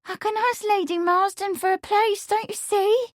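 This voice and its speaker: A lovesick young housemaid, put on by a woman reading the story, pitched well above the narrator and full of tearful pleas and soft sighs. She is sweet on the surface yet quietly scheming. The sound is dry and close, with no room around it. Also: steady tone, moderate pace, dry recording. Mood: pleading. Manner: tearful, pleading, breathy sighs.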